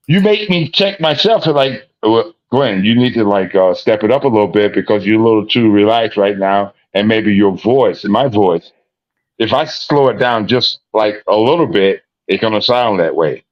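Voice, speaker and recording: A man with a deep voice, a guest in a casual livestream chat, laid-back and repeating his phrases. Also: normal speed. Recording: dull lo-fi call audio.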